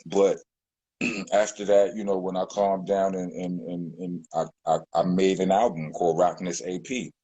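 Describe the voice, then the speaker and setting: A man with a very deep, husky voice, speaking as a rapper in a sit-down interview. He rambles and circles back, filling his talk with "you know" and restarts. The sound is dry and close. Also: level tone, normal speed, dark tone, no echo, soft background music, poor audio. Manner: rambling, many fillers and restarts. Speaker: rapper, guest.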